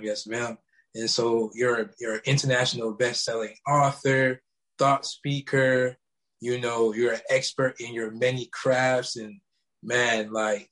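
A man's voice: mid-range voice, a bit bright, rough recording. A man hosting a casual interview podcast, eager and deferential, often answering "yes, ma'am". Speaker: podcast host.

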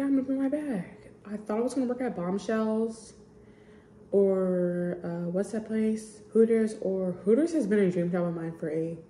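A woman's voice: medium pitch, dark tone, slight background noise. Rambling young woman in an echoey room. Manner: rambling, candid, full of "like" and "I just". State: sniffling. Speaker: podcast host. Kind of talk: casual personal chat.

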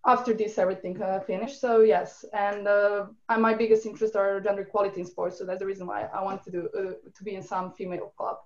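Young woman, hesitant, pauses for words, dull lo-fi call sound. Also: mid-range voice, little pitch movement, darkish tone. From Serbia. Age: young adult. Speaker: panel guest.

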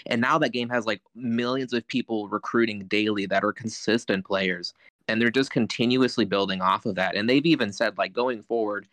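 A man's voice: lowish voice, fairly even intonation, normal speed, a bit bright, no echo. A man co-hosting a podcast, chatting casually about video games and rambling with lots of fillers. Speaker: podcast co-host. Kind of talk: casual chat.

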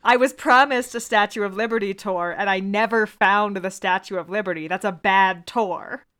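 A woman with a mid-pitched voice talks casually as a podcast co-host. She banters in a playful, argumentative manner, like someone debating among friends. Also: fairly even intonation, normal speed, fairly bright tone, clear, resonant tone.

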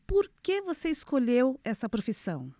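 A woman's voice: high voice, huge pitch swings, moderate pace, dark tone, no echo, clean studio sound. A woman hosting an audiovisual podcast, reading a scripted introduction and then interviewing her guest. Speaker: podcast host.

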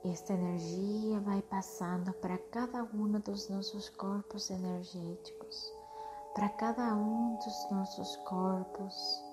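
A woman leading a guided meditation in a soothing, unhurried way, with short phrases and long pauses. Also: medium pitch. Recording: music behind the voice. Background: meditation music.

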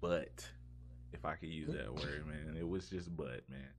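Male podcast host, very low voice, laid-out informal streamer chat with slangy asides. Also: very animated, a little slow, creaky. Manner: informal, slangy, punctuates sentences with "man" and "bro".

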